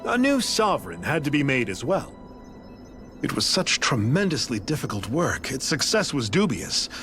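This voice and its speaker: Eccentric game scientist, animated pitch swings, blithe, theatrical lecturing, faint music behind. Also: male voice, normal speed. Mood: blithe, detached. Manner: theatrical, casually detached, lecturing. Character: eccentric, blithe male scientist, coolly explaining a grand scheme. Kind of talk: scripted video game voice acting.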